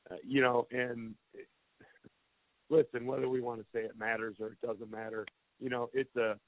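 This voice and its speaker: Plainspoken coach on a tinny phone line. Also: male voice, medium pitch, flat delivery, normal speed, dry recording. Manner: plainspoken, candid, self-deprecating. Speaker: head football coach. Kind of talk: answering questions on a press conference call. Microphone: telephone.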